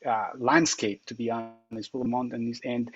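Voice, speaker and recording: A man's voice: mid-range voice, little pitch movement, moderate pace, dull audio. A man from Colombia, a company consultant, answering interview questions earnestly, with many fillers and restarts. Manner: earnest, explains step by step with frequent uh fillers and restarts. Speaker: account consultant guest.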